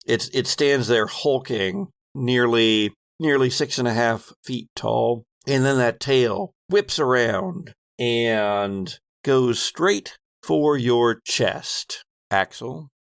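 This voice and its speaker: Guy game master telling scary rpg stuff, slow n deliberate, creaky voice, real dry close sound. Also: mid-range voice, no room sound. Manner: deliberate, descriptive, pausing mid-sentence. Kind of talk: improvised narration of a horror tabletop game.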